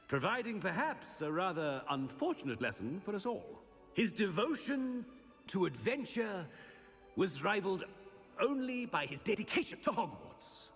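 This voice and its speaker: An actor playing a pompous, disapproving older wizard who delivers a halting formal eulogy in a video game. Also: male voice, sits high, a bit bright, full character voice. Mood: stiffly solemn. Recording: long hall reverb, music behind.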